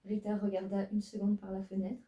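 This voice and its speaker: A woman's voice: medium pitch, monotone, brisk, mellow, lo-fi sound. A woman reading her own old fanfiction aloud, stumbling over her text and making self-mocking asides, recorded in a roomy room from a distance. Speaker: fanfiction reader.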